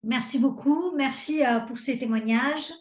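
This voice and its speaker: A woman moderates a live online meeting informally. Her voice is slightly high and carries a strong echo, as heard over a video call. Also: unhurried, a little mellow. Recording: echoey video-call audio.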